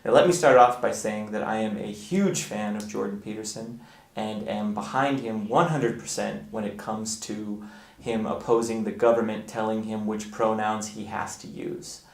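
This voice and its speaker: A man giving a casual explanatory monologue, halting as he thinks aloud and stressing key words. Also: mid-range voice, echoey room. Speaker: video blogger.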